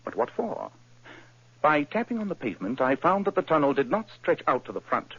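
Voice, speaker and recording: An actor playing a brisk, precise, coolly confident male detective in a 1940s radio drama, explaining his deductions crisply. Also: a little high, very animated, a bit bright, dry recording, heavily acted. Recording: dull old radio recording.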